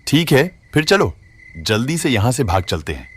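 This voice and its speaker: A man playing a young, flattering, scheming village swindler in a cartoon moral story. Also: medium pitch, moderate pace, breathy edge. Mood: coaxing. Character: smooth-talking young village swindler wooing a wife.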